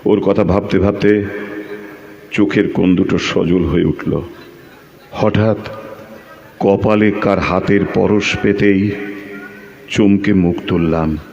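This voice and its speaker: Male actor, deepish voice: tormented, hot-tempered lover narrating his own radio drama, theatrical delivery, slightly noisy track. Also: fairly even intonation, slow pace, gravelly, pauses often, a little mellow, lo-fi sound, full character voice. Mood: brooding. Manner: theatrical, emotional, with repeated pleading phrases. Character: lovesick, brooding, quick-tempered man telling his own story. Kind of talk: dramatic first-person narration of a Bengali audio play.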